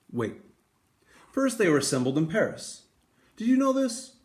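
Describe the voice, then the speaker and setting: A man hosting a read-aloud for schoolchildren, speaking in a deliberate storytelling way to young listeners. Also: a little high, a little mellow. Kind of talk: expressive children's picture book read-aloud.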